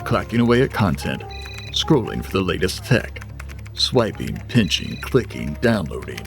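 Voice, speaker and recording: An actor playing a portentous, wry narrator of an uncanny anthology tale, in measured, theatrical vintage television style. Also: male voice, low voice, sing-song, vocal fry, soft background music, heavily acted. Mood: wry, dramatic. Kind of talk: scripted narration in vintage eerie TV anthology manner.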